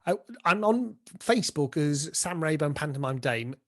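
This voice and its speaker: A man's voice: fairly high pitch, lively intonation, normal speed, fairly bright tone. A British man giving informal, chatty interview answers as a pantomime dame and director, often explaining things through acted-out examples.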